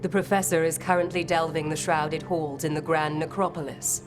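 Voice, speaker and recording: A woman's voice: deep voice, fairly even intonation, moderate pace, music in the background, lightly acted. An actress playing a composed, scholarly lady necromancer in a video game, speaking formally and precisely. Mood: composed, ominous.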